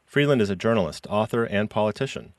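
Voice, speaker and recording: A man's voice: lowish voice, animated, fast talker, no room sound, far from the mic. A man hosting a podcast, who reads a scripted introduction and then asks personal questions. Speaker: podcast host. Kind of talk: interviewing a guest.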